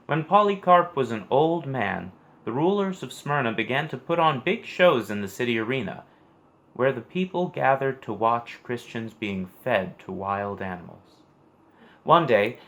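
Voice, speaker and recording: A man's voice: medium pitch, a bit bright. Man reading a children's story aloud.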